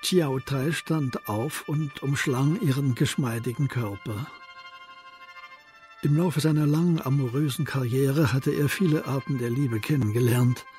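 A man narrating a historical crime radio drama in a measured, literary storytelling style. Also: medium pitch, a little mellow, faint music behind, lightly acted. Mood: measured, solemn.

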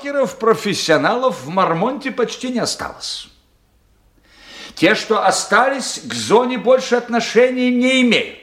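Radio play male intelligence chief: forceful, sardonic, clipped interrogation, with wide swings of intonation. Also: very high voice, big pitch swings, normal speed, exaggerated voice. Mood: stern summing up. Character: domineering sardonic male intelligence boss grilling his subordinate. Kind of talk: Russian radio drama acting, circa 1990.

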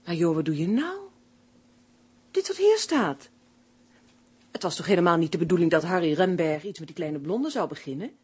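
An actress playing a brisk, bossy, practical female writing partner, expressive and insistent, in a Dutch radio play. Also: fairly low pitch, husky, heavily acted. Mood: exasperated, insistent.

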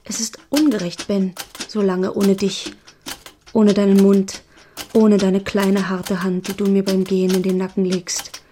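Casting: actress as lonely woman longing for her lover, level and mellow, literary audio drama, faint noise behind. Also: medium pitch, flat delivery, unhurried, natural voice. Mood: wistful, aggrieved. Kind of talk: literary reading.

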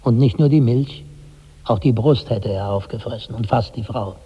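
An actor in a 1960s German radio drama playing a troubled, puzzled companion and witness, husky and creaky, with theatrical searching delivery. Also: male voice, mid-range voice, normal speed. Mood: sombre, pitying. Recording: dull old radio recording, long reverb.

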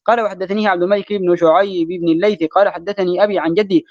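A man reading classical religious texts aloud as a student, in a rapid recitation style, over an online call. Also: sits high, steady tone, darkish tone, no room sound, lo-fi sound. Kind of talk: rapid recitation of Arabic hadith text.

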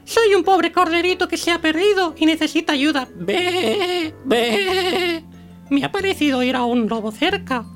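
A fake innocent little lamb, super high squeaky, pleading, kids radio tale, faint music. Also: female voice, expressive, a little slow. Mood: coaxing. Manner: pleading, bleating, sweetly deceitful. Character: false-innocent little lamb, a wolf in disguise. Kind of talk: children's radio play.

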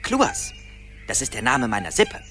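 A man's voice: medium pitch, wildly swooping pitch, a little slow, pushed and bright, full character voice. A man acting a small, cheeky earwig soldier who is weary of soldiering, in a radio play. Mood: fed up. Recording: music behind the voice.

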